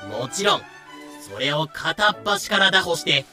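A man narrates a story in a high, bright voice. He reads scripted storytelling lines with light music underneath. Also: lively intonation, a little slow. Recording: music bed behind voice.

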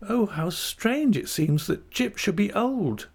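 A frail young wife in a storybook reading, acted out by a man, pitched well up. She sounds tender and childlike, with a wistful, pleading simplicity in the way she talks. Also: big pitch swings, moderate pace, darkish tone, full character voice. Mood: astonished, wondering.

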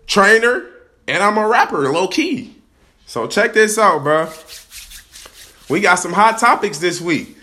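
A young man hosting his own podcast, hyped and slangy, hoarse from a cold. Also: sits high, bright, forceful tone. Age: young adult. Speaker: podcast host. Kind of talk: casual vlog-style podcast talk. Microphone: camera.

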